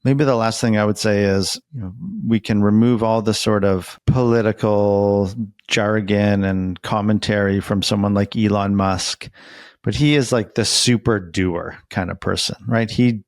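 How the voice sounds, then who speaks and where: A man's voice: fairly low pitch, steady tone, measured pace, darkish tone, dry recording, studio quality. A man interviewing in a casual, conversational way, reflective and rambling, often hedging as he goes. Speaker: interviewer who works as a therapist.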